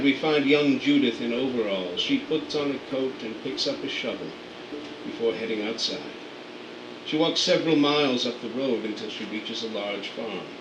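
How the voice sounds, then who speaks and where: Man reading scene directions in a roomy room. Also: medium pitch. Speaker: narrator reading stage directions. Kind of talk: radio play scene directions. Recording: roomy, distant mic, air conditioning hum.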